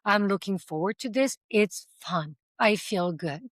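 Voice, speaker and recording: A woman's voice: medium pitch, expressive, a little slow, dry recording, studio quality. A woman who founded a language school, answering interview questions in a passionate, talkative way and drawing on everyday examples. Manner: speaks several languages.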